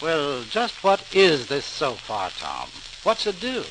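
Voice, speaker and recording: A man's voice: on the high side, huge pitch swings, takes it slowly, full character voice. An actor playing a proud, curious middle-aged engineer father in a 1940s radio drama. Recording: hissy, crackling 1940s radio recording.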